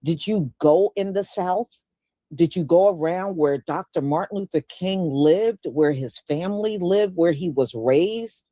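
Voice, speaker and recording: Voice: mid-range voice, measured pace, dark tone, no room sound. Folksy talk-show host, dry close sound. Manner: folksy, storytelling, conversational asides. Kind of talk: casual commentary.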